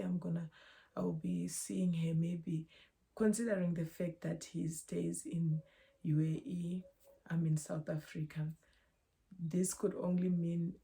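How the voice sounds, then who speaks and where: A young woman from Zimbabwe, speaking in a halting, emotional, reflective way in a personal vlog. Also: lowish voice, mellow. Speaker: vlogger.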